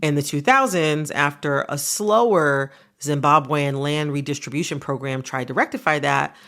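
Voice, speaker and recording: A woman's voice: sits low, moderate pace, fairly bright tone. A woman hosting a podcast, asking curious, conversational questions and summarizing background. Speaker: podcast host.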